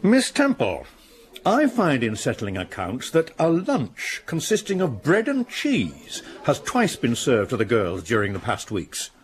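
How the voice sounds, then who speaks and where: An actor playing a stern, sanctimonious middle-aged school patron in a radio drama, preaching at the pupils. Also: male voice, mid-range voice, huge pitch swings, measured pace.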